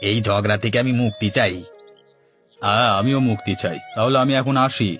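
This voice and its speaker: A man's voice: on the low side, fairly even intonation, brisk, fairly bright tone, faint music behind, natural voice. A man playing a weary, scheming village husband in a cartoon, speaking in a plain, earnest manner. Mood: conspiratorial, weary. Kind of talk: Bangla cartoon dialogue acting.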